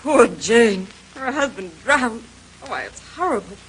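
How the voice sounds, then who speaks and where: An actress playing a brittle, easily offended society wife in an old radio mystery, with an emphatic, theatrical delivery. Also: female voice, on the high side, big pitch swings, a little slow. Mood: shocked. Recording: noisy old radio drama recording.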